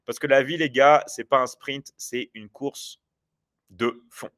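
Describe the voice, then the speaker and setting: A man's voice: mid-range voice, steady tone, slow, fairly bright tone. Rambling man hosting a live chat. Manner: rambling, hesitant with many fillers and restarts. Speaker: livestream host. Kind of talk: casual livestream chat answering viewers.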